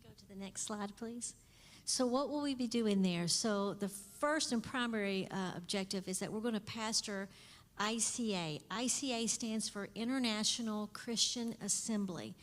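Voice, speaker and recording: A woman's voice: mid-range voice, moderate pace. A woman in her fifties giving an earnest missions presentation to a church. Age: 50s. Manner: earnest, explanatory, steady. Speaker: missionary speaker. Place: church sanctuary. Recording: long church hall reverb.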